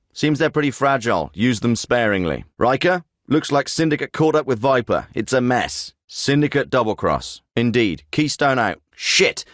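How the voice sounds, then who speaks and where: An actor playing a gruff, sardonic British special forces team leader in a video game, giving terse, dry military commands. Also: male voice, medium pitch, no echo, lightly acted. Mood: urgent, commanding. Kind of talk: military radio chatter and commands.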